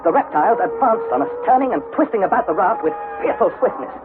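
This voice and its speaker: An actor playing an earnest young adventurer, narrating perils theatrically in a vintage radio drama. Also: male voice, sits high, sing-song, fast talker. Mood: tense, urgent. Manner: theatrical, suspenseful. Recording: narrow-band vintage radio broadcast, music behind.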